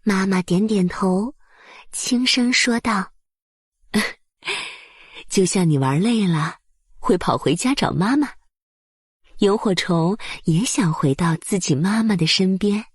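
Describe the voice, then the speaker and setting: A woman narrating a bedtime story for small children in a soft, breathy voice, with gentle, soothing and careful phrasing. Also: medium pitch, slow pace, pauses often, no echo, studio quality.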